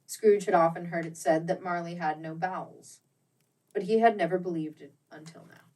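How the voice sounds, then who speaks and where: A woman with a fairly low voice reads a classic storybook tale. Her delivery is theatrical and expressive, with each phrase set out deliberately. She sounds a little way back from the microphone. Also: distant mic, low-quality recording. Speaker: audiobook reader. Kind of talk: dramatic storybook narration of a classic ghost tale.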